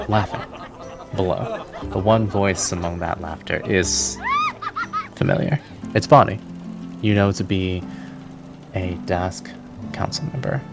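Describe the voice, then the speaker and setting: A man narrating a tabletop roleplaying game as game master, with measured, evocative storytelling. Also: sits low, gravelly. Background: music.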